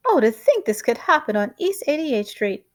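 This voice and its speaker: A woman voicing an excitable, easily alarmed housewife in a storybook, in a theatrical, exclamatory way. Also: high-pitched, moderate pace, voice pushed up. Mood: indignant.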